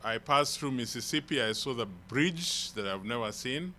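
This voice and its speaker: A man from Kenya with a Kenyan accent, a visiting church elder telling stories slowly in an informal sermon. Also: medium pitch, expressive, pushed and bright, slight background noise. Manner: slow, rambling storytelling with restarts. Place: church hall.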